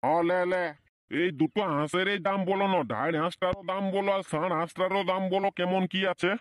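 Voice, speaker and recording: A man's voice: high voice, fairly even intonation, a little fast, no echo, clean studio sound, natural voice. A man voicing an exasperated village father in a comedy cartoon, blunt and grumbling, with a Purulia Bengali accent.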